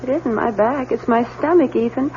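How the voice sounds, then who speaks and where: An actress playing an ailing, frightened wife in an old-time radio drama, complaining and full of self-pity. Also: female voice, fairly high pitch, normal speed, mellow, lightly acted. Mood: plaintive, fearful. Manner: plaintive, complaining. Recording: muffled old radio recording, faint hum.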